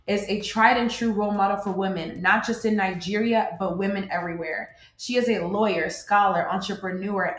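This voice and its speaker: A woman hosting a podcast interview, talking with enthusiasm and praising her guest. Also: mid-range voice. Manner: enthusiastic, upbeat. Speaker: podcast host. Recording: roomy echo.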